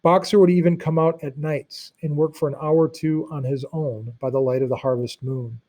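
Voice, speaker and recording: A man narrating a storybook read-aloud in an expressive, teacherly way, with explanatory asides. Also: a little high, normal speed.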